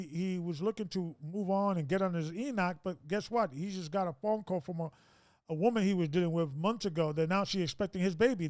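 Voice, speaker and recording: A man's voice: high voice, fairly bright tone, dry recording, studio quality. A man in his fifties hosting a casual group discussion, preachy and rambling, with many restarts. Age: 50s.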